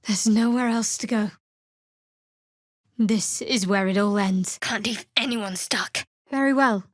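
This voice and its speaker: A woman's voice: fairly high pitch, dry recording. An actress voicing a guarded, sardonic young female cleric adventurer in a fantasy video game, with a dry, secretive manner. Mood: earnest, uneasy, then subdued.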